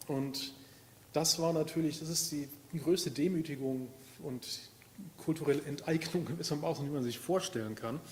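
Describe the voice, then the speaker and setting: Explaining man in an echoey hall. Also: a little high. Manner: explanatory, measured, with hesitation fillers. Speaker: panelist. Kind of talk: panel discussion. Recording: echoey hall with long reverb, faint noise.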